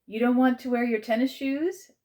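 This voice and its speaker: A woman reading a children's story, voicing a caring aunt who gently prods a child. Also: high voice, big pitch swings, measured pace, pitched above the performer's own voice, exaggerated voice. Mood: gently prodding. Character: gentle, prodding aunt looking after a child.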